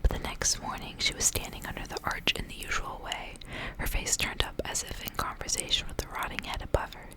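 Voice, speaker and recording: A woman reading a story aloud in a hushed ASMR whisper from start to end. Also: brisk, low-quality recording. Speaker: ASMR reader.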